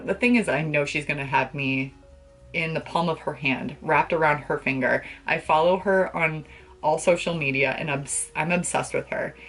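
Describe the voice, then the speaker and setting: A young woman chatting casually to the camera as a book vlogger, with an expressive, gushing and emphatic way of talking. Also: low voice. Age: young adult. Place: small bedroom, indoors.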